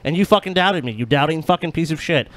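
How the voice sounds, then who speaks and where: A man's voice: on the high side, expressive, fast talker, dry recording, poor audio. A man co-hosting a podcast in heated casual banter, swearing heavily and boasting brashly. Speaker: podcast co-host.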